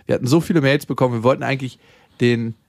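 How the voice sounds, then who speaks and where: A man's voice: medium pitch, rapid-fire, gravelly, darkish tone, no room sound, studio quality. A man co-hosting a podcast, reading listener mail and trading frank, joking banter. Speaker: podcast co-host.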